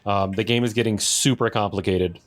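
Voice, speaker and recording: A man's voice: on the low side, steady tone, normal speed. A man co-hosting a podcast, chatting casually and informally about games. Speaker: podcast co-host. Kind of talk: casual gaming chat.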